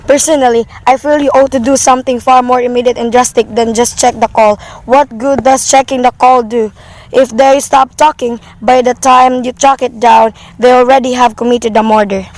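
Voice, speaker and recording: Woman acting as anxious invalid wife, high voice, fretful, demanding, wordy, amateur radio drama. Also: fairly even intonation, normal speed, close to an everyday voice, rough recording. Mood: insistent, demanding. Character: anxious, demanding invalid wife reporting an overheard murder plot.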